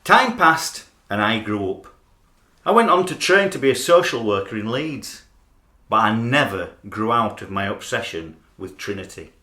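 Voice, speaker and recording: A man's voice: on the low side, sing-song, moderate pace, bright, forceful tone. A man playing an earnest, obsessive rugby fan in a radio play, dramatic and aggrieved. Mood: wistful. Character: earnest, obsessive male rugby league fan, trainee social worker. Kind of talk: radio play monologue and dialogue. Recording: roomy amateur recording, faint background noise.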